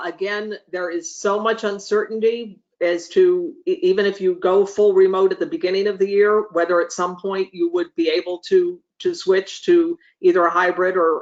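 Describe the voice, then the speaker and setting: Meeting facilitator, measured explanatory delivery, careful hesitant phrasing, middle pitch, dull lo-fi call sound. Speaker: meeting facilitator answering board chairs' questions. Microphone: video call. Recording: dull lo-fi video call audio.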